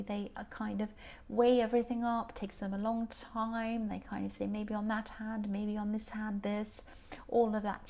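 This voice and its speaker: Tired, croaky woman reading tarot. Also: a little high. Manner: gentle, meandering, many ums and restarts. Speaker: tarot reader. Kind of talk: casual tarot reading to camera.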